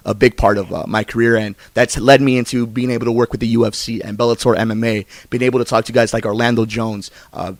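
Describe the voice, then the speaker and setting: A man's voice: medium pitch, steady tone, quick pace, hardly any pauses, no echo. Effusive American man hosting a podcast. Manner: effusive, earnest. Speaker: podcast host and interviewer. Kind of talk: casual podcast interview and sign-off.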